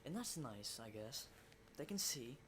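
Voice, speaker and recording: A man playing a battle-hardened, rattled survivor of a zombie apocalypse in an ASMR roleplay, rambling and swearing in hushed, halting speech. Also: medium pitch, sing-song, noisy background. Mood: pensive, weary.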